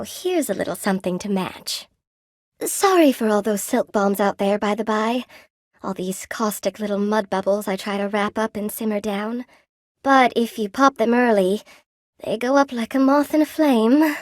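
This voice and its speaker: An actress voicing a friendly, lonely weaver spider woman in a video game, with a gentle, quaint, expressive and chatty manner. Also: mid-range voice, no echo, clean studio sound, lightly acted. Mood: caring. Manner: gentle, quaint, folksy, expressive, self-effacing. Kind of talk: video game character acting.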